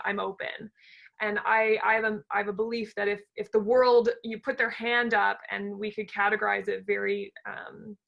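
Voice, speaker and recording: A woman hosting an informal panel, talking in a halting, rambling way as she thinks aloud. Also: medium pitch, gravelly, fairly bright tone. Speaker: panel host, entrepreneur.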